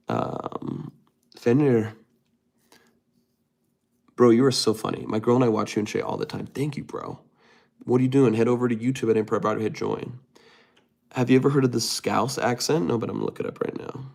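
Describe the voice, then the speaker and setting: A man's voice: medium pitch, creaky, darkish tone. A man hosting a casual livestream, reading viewer comments aloud and answering them in a rambling way with many restarts. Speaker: livestream host reading chat.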